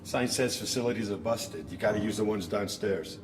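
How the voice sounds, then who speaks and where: A man's voice: fairly low pitch, a little fast, lightly acted. A man acting a nervous, officious attendant in a radio drama, heard in an echoing room. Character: officious male attendant turned frightened underling. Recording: echoey tiled room, long reverb.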